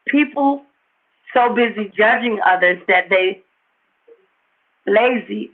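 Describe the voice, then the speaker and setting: Phone-thin, raspy woman giving a motivational talk. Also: mid-range voice. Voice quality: raspy, slightly hoarse. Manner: emphatic, repeats phrases for emphasis. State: a little hoarse. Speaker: host of a motivational talk show. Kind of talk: informal motivational livestream talk to viewers. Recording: tinny, phone-like narrow-band livestream audio.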